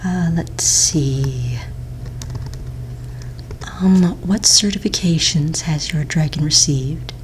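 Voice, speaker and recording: A woman playing a polite, patient office manager in a soft-spoken ASMR roleplay, with a breathy near-whisper and gentle, unhurried delivery. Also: low-pitched. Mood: calm, polite. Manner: gentle, unhurried, hesitant pauses while checking paperwork. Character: polite, patient female office manager at fantasy pet registry. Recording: faint-to-clear background noise, keyboard typing. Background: computer keyboard typing.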